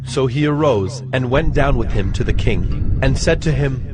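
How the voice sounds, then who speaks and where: Measured male narrator over music. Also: medium pitch, animated. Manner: measured, formal. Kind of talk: scripted scripture narration.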